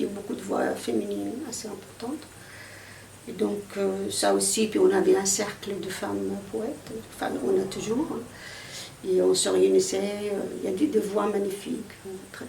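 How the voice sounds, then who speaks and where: Egyptian woman, hesitant and gravelly. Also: mid-range voice, a little mellow. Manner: hesitant, many fillers and restarts. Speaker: poet interviewed. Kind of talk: casual conversational interview. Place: outdoor poetry fair in a city square. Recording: echoey, from a distance, faint outdoor noise.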